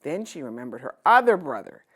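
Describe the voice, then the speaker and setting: Female storybook narrator for children, low register with very animated delivery. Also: fairly quick, slightly rough.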